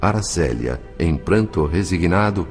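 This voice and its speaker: A man with a Brazilian accent playing a compassionate spirit narrator, telling the story in a solemn, measured, expressive way. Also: deep voice. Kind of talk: scripted dramatic narration. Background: music.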